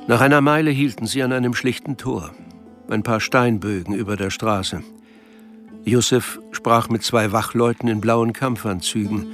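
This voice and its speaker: A man reading scripted literary narration as the narrator of a radio drama. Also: mid-range voice.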